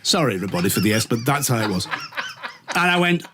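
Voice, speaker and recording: A man's voice: medium pitch, big pitch swings, quick pace, background noise. A middle-aged British man chatting in a camp, theatrical way, telling anecdotes and acting out the dialogue as he goes. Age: middle-aged or older. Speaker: radio presenter, interview guest. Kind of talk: animated anecdotal chat.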